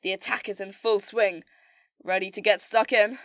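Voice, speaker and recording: A woman's voice: medium pitch, steady tone, normal speed, fairly bright tone, no echo, lightly acted. A woman playing a commanding, wry military squad leader in an audio drama, speaking with firm authority. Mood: brisk, wry. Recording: noisy battle sound effects behind.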